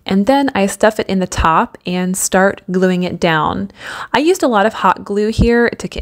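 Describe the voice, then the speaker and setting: A woman narrates a do-it-yourself decor tutorial in voice-over, walking through each step in a practical, easygoing way. She fills pauses with ums and uhs as she explains, at an ordinary middle pitch. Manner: practical, step-by-step, with frequent fillers. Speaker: DIY home decor vlogger.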